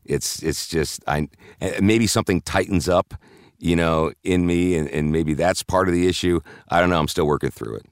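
A man with a deep, very low voice talks casually as an interview guest. He rambles and halts, with frequent fillers and restarts. The sound is dry and close. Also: moderate pace, smooth, no room sound.

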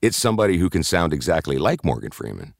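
Deep-voiced man, very low pitch. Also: sing-song, vocal fry, dry recording, studio quality. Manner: rambling, halting, with many fillers and restarts. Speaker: voiceover announcer, interview guest. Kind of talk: casual interview chat.

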